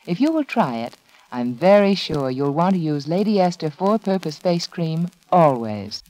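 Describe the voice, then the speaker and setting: A woman announcer delivering a scripted 1940s radio advertisement in a measured, persuasive manner. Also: deep voice, sing-song, background noise. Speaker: commercial announcer.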